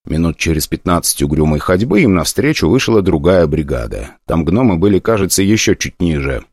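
A man narrating a fantasy audiobook in an expressive, ironic storytelling manner. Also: deep voice, fairly quick, slightly rough, dry recording, studio quality, heavily acted.

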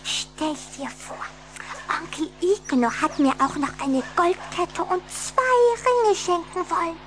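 A woman's voice: very high voice, animated, takes it slowly, noisy background, heavily acted. An actress playing a plucky, cheeky little vampire girl in a radio play, pert and coquettish. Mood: teasing. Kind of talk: German radio play acting.

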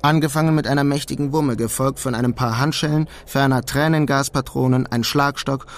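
An actor playing a wry thief who narrates his own heist in a German radio crime drama, measured and deadpan. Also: mid-range voice, close to an everyday voice.

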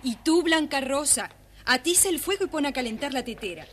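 An actress playing a kind, hospitable mother in a children's story record, with a gently commanding manner. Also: high voice, expressive, brisk. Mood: caring, instructing. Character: kind, hospitable widowed mother of two girls. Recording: faint noise, old children's story record.

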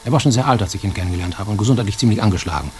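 A man's voice: on the low side, very fast, a little hiss or hum, natural voice. A man playing an enthusiastic retro-technology expert in a radio play, explaining things knowingly. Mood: matter-of-fact.

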